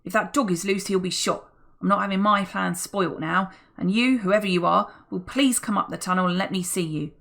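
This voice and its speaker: A woman reading a menacing armed male criminal in an audiobook, loud and threatening. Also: medium pitch, moderate pace, creaky.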